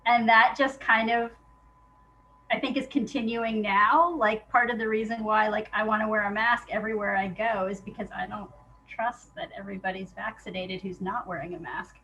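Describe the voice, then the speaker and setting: A woman's voice: mid-range voice, fairly even intonation, normal speed, sharp tone, lo-fi sound. A woman speaking as an interview guest in a casual, reflective way, candid and hesitant, often restarting her thoughts. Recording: noticeable background noise.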